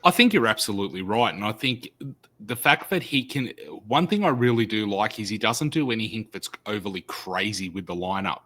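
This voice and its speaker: A man in his thirties with an Australian accent, co-hosting a casual podcast chat as a loud, animated storyteller over a desk mic on a video call. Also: lowish voice, fairly quick. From Sydney, Australia. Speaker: podcast co-host. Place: home studio.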